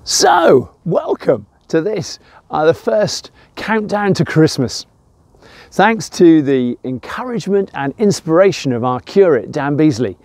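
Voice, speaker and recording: A man's voice: fairly high pitch, sing-song, unhurried, a little mellow, dry recording. An older man with an English accent giving an informal devotional talk in an emphatic way, with personal anecdotes. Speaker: church devotional presenter.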